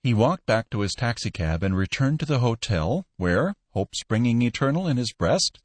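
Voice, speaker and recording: A man narrating a story as an audiobook, with expressive, polished reading. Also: mid-range voice, moderate pace, no echo.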